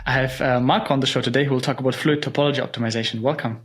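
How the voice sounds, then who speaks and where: A male podcast host welcomes his guest and asks his questions. He speaks in a middle register and rattles through his words at a very fast clip, keeping an eager tone. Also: mid-range voice, fairly even intonation, slight background noise. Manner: rapid, enthusiastic questioning.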